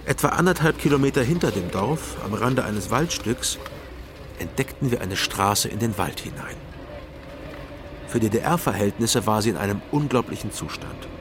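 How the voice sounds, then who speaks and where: An actor playing a sober male private detective, narrating his case in a measured, matter-of-fact way for a radio crime drama. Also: on the low side, expressive, noisy background, close to an everyday voice. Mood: sober, descriptive. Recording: music under the narration. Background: music.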